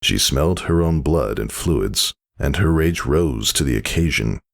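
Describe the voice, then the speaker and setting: A man giving a dramatic, theatrical story narration with intense, measured phrasing. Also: rumbling low, expressive, vocal fry.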